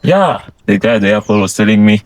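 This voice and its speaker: A young man from Congo, a French speaker, explaining things in a relaxed, chuckling way. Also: on the low side, fast talker. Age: young adult. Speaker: international student.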